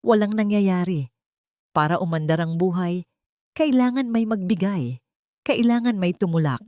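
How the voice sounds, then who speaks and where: A woman's voice: lowish voice, moderate pace, dry recording, studio quality, lightly acted. Woman acting a cheerful, playful young housemaid. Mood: playful, encouraging. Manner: lively, sing-song, teasing. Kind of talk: dramatic audiobook dialogue.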